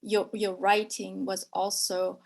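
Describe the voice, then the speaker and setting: Gravelly-voiced woman moderator probing questions. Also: mid-range voice, level tone, takes it slowly. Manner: probing, rephrasing questions with tag "right?". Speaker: moderator, professor. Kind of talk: webinar moderating. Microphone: video call.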